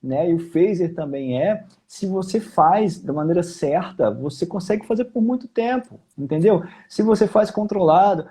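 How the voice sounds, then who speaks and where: Male Brazilian vocal teacher, high-pitched voice, informal, emphatic, conversational technical explanations. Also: animated, moderate pace, hardly any pauses, mellow. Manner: informal, emphatic, repeats key points. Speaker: singing teacher, guest.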